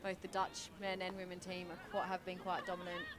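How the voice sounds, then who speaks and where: A woman's voice: lowish voice, completely flat delivery, brisk, background noise. A woman commentating a community hockey game in a friendly way, often laughing. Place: outdoors at a hockey ground.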